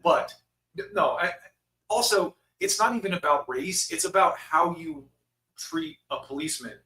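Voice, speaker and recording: A man from Utah, a podcast co-host, talking in a casual, profane and animated way. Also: a little high, measured pace, roomy sound, slight background noise, rough recording. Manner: animated, profane, swings into mocking quoted voices. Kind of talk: casual conversation.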